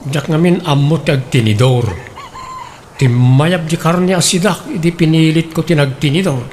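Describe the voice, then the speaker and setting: A man's voice: a little high, moderate pace, a little mellow, natural voice. Man acting awkward young Ilocano guy, faint noise. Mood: pleading. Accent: Filipino, Ilocano. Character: awkward, anxious young man on a date, apologetic. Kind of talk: Ilocano comedy radio drama acting.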